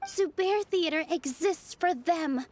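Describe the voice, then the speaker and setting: An actress playing a gentle, earnest young dancer in a video game, speaking politely and carefully. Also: female voice, very high-pitched, takes it slowly. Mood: heartfelt. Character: gentle, earnest young female dancer defending her theater. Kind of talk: scripted video game voice acting.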